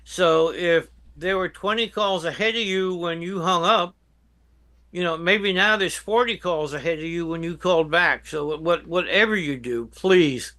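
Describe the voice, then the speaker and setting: An older man with an American accent giving measured, emphatic advice as an expert guest in an interview. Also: fairly high pitch, pushed and bright. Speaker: guest expert, veteran emergency dispatch professional. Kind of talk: interview answers, explaining and advising.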